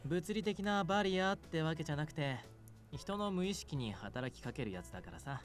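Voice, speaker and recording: A man's voice: on the high side, expressive, racing, close to an everyday voice. An actor voicing an easygoing, reassuring young man in a visual novel game. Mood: relaxed, casual. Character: easygoing, reassuring young male cafe regular. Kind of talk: Japanese visual novel voice acting. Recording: game music behind.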